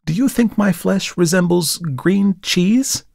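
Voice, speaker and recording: A man's voice: high voice, slow, creaky, darkish tone, dry recording, clean studio sound, voice pushed up, exaggerated voice. Man voicing a chatty young woman model. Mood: surprised. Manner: chatty, scolding, playful. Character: lively teasing young woman artist's model. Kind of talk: audiobook character voice.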